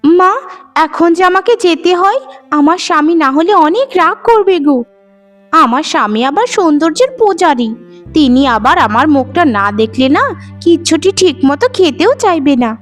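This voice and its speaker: An extremely high female cartoon voice acted by a woman plays a young married daughter. She is vain and petulant, wheedling in an affected, melodramatic way. Music runs behind her. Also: lively intonation, normal speed. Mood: smug. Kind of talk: Bengali cartoon dubbing, melodrama. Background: background music.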